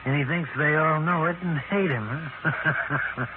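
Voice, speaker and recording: A man's voice: fairly high pitch, slow pace. An actor playing a brash, slangy hired hand in a 1940s radio drama, cocky and blunt in his talk. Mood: mocking. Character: brash, pushy, slangy young hired hand, male, scheming. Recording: noisy, tinny 1940s radio recording.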